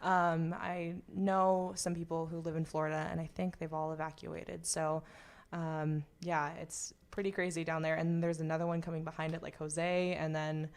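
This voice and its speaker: A woman's voice: low-pitched, flat delivery, moderate pace, hardly any pauses. A young American woman chatting casually on air as a radio host.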